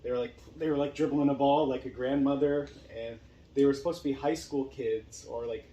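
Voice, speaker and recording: Mellow man chatting in an echoey room. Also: medium pitch, steady tone, a little fast. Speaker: podcast host and actor. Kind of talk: casual chat. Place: room in a home. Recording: roomy echo, faint background noise.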